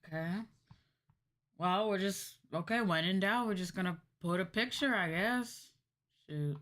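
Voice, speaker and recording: A woman's voice: mid-range voice, measured pace, a bit bright. A woman livestreamer chatting casually and thinking aloud, muttering a little hesitantly to herself. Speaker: VTuber livestreamer.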